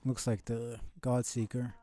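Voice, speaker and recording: A man streaming a video game with casual commentary, musing and thinking aloud in a low-key way. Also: little pitch movement, measured pace, mellow.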